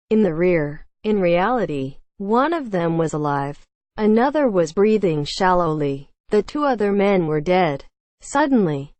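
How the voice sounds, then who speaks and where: Woman doing formal news narration, kinda low voice, really dry close recording. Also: a little mellow, no room sound. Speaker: news article reader.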